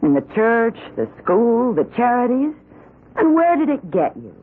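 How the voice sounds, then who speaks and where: A woman's voice: on the high side, sing-song, unhurried, husky, full character voice. An actress playing a fretful, dissatisfied suburban wife in a radio drama, hesitating, then pressing her point. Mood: upset. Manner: hesitant starts, then insistent. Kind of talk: 1970s radio drama acting. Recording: tinny vintage radio broadcast.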